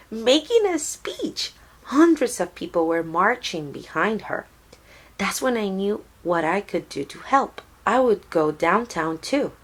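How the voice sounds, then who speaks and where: Female storybook narrator, lower register, slow and deliberate, expressive read-aloud delivery. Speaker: storybook reader.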